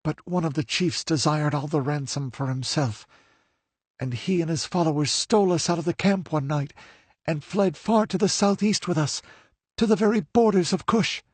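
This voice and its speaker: A desperate young noblewoman, near tears, pleading in a high, strained voice that climbs well above a man's own register, as a male narrator puts her on. The voice is a little louder than the narration and a bit husky, recorded dry and close. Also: moderate pace, no room sound. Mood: grieving.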